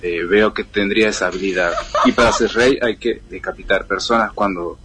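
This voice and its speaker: A man from Santiago del Estero, Argentina, sending a voice message to a radio show, with dry deadpan humor. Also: on the low side, brisk, slight background noise. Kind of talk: casual voice message.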